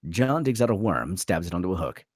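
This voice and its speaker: A man's voice: deep voice, sing-song, a little fast, dry recording, clean studio sound. A man narrating a screenplay dramatically at a table read.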